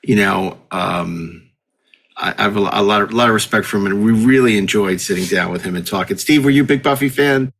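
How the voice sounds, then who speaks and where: A man hosting a podcast in casual chat, with a halting delivery that often repeats words. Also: on the low side, vocal fry, a bit bright. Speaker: podcast host.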